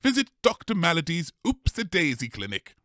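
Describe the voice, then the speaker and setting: A man's voice: a little high, wildly swooping pitch, normal speed, a bit bright, no echo, studio quality. A man playing an earnest advert announcer in a parody radio commercial, with a salesmanlike manner. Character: earnest male advert announcer for a dubious clinic.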